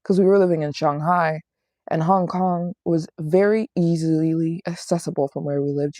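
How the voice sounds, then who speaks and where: A woman's voice: low voice, vocal fry, warm tone, no room sound, clean studio sound. A woman in her late twenties chatting casually and playfully on a livestream as a travel vlogger, often repeating phrases for emphasis. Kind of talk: casual livestream chat answering viewer questions. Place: small apartment living room in Japan.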